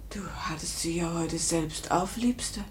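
An actress playing a patient, soothing wife in a 1950s German radio drama, gently coaxing in a hushed, breathy way. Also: female voice, lowish voice, measured pace, natural voice. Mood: gentle, coaxing. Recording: noisy vintage 1950s radio recording.

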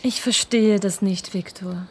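A woman's voice: medium pitch, steady tone, slight background noise, lightly acted. A woman playing a loving, hurt young fiancée in a radio drama, speaking in a pleading way. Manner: slow, pleading. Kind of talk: German radio drama acting.

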